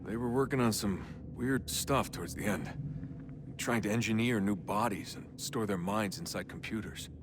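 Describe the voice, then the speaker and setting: A man's voice: fairly low pitch, normal speed, background noise, natural voice. An actor with an American accent playing a troubled, earnest young man in a video game, speaking in a hesitant, understated way. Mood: pensive. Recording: music behind the voice.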